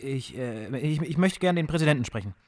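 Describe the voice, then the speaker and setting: An actor playing a brash prank caller in a radio drama, bluffing insistently with the odd stammer. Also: male voice, fairly high pitch, lively intonation, a little fast, very noisy, natural voice. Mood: nervous bluffing. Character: brash fast-talking prank caller bluffing as senator's aide.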